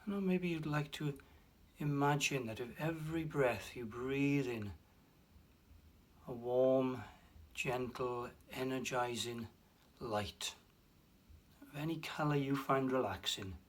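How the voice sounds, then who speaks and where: A man guiding a relaxation meditation, speaking slowly and softly with long pauses and a breathy hush at times. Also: medium pitch. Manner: slow, soft and soothing, with long pauses. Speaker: meditation guide. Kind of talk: guided meditation.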